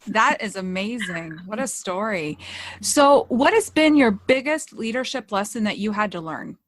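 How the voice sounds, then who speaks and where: A woman's voice: medium pitch, lively intonation, normal speed, no room sound. A woman hosting a podcast, reacting encouragingly and asking her guest a question. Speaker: podcast host. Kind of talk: interview questions.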